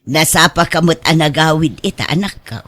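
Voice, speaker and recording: An actor playing a doting, teasing parent of a grown son in an Ilocano radio drama, advising in an animated way. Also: male voice, fairly high pitch, a little fast, natural voice. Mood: gently scolding.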